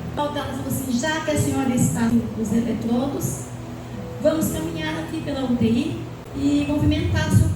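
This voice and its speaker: A Brazilian woman giving an earnest, emotional testimony to a church congregation. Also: sits high. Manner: earnest, emotional storytelling with pauses. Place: church hall. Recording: very echoey church from far off, loud music. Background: loud music under the speech.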